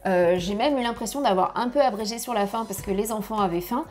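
A woman in her thirties giving travel tips to camera from her home office, lively and chatty, on a lapel mic. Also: mid-range voice, quick pace, faint music behind. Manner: lively, chatty, gesturing with hands. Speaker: family travel vlogger. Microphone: clip-on lapel mic.

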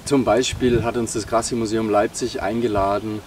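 A man's voice: lowish voice, steady tone, normal speed. A man in his thirties talking casually to camera outdoors as a volunteer. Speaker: volunteer group member. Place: open countryside outdoors. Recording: distant mic, noisy outdoor sound.

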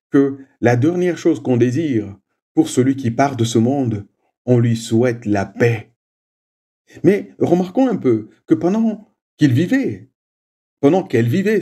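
A man whose first language is Swahili, leading a prayer and giving a slow, measured homily with frequent little "hein" asides. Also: mid-range voice, warm tone, breathy edge. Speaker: preacher.

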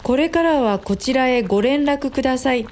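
A woman playing a chatty, teasing female office worker in a radio drama, expressive and gossipy. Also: high-pitched, fairly quick, slight background noise.

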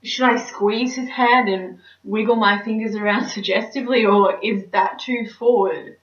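A woman's voice: mid-range voice, fairly even intonation, normal speed, fairly bright tone, lightly acted. Woman acting a neurotic, melodramatic woman, roomy. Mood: anxious fretting. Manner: theatrical, anxious, melodramatic. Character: neurotic, dramatic single woman dreading dating. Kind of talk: stage audition monologue. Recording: roomy, distant mic, slightly dull.

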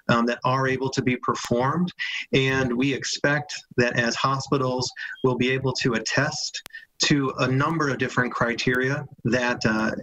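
A man's voice: medium pitch, pushed and bright, rough recording. A man, a hospital medical officer answering questions carefully over a video call from home, with frequent fillers. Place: home room with framed art. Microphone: video call webcam.

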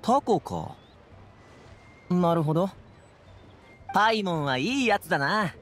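ゲームで、男性声優が演じる明るく気さくな若い護衛。くだけた少年っぽい口調で話す。. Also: male voice, high-pitched, animated, unhurried, a bit bright, soft background music. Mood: friendly. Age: young adult. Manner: casual, breezy, boyish slang. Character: upbeat, friendly young man, transport guard. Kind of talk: Japanese anime game voice acting.

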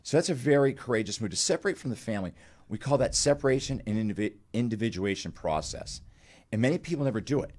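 A man's voice: mid-range voice, lively intonation, fast talker, darkish tone. An older man speaking as a guest psychologist, explaining things to a caller in a reassuring, validating way on a studio microphone. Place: indoor studio. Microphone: close studio microphone on an arm.

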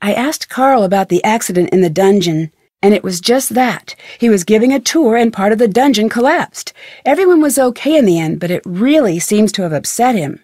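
A woman's voice: medium pitch, lively intonation, fairly quick, mellow, no echo, clean studio sound, natural voice. A young woman voicing a friendly, curious, plucky amateur detective in a video game, with earnest, conversational delivery. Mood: thoughtful.

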